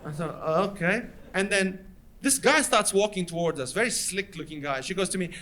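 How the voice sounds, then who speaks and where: A man from Lebanon with a Lebanese accent, doing animated stand-up storytelling on stage and acting out the people in his story. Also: sits high, brisk. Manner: animated, acts out voices of others in anecdotes. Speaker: stand-up comedian. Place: stage before a live audience.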